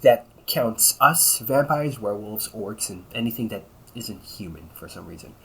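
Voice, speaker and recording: A man's voice: on the low side, animated, moderate pace, creaky, a little hiss or hum, poor audio. A man playing a cocky, weary, centuries-old but young-sounding vampire brother in a conversational audio roleplay, grumbling with dry sarcasm. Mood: casual, explaining. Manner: sarcastic, grumbling, often chuckling. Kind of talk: audio roleplay, conversational one-sided dialogue.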